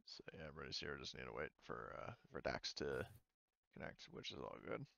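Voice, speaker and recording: A man as game master, narrating and chatting casually in a halting, loosely profane way. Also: rumbling low, very animated, creaky, pushed and bright, dry recording, highs cut off.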